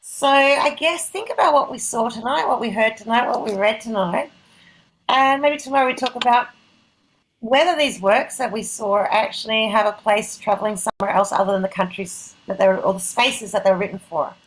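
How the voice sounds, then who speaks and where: A middle-aged woman with a fairly high voice, chatting as the moderator of an online gathering. She rambles a little and speaks informally, poking gentle fun at herself over a video call. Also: fairly quick, rough recording. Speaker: event moderator. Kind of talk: casual event wrap-up on a group video call.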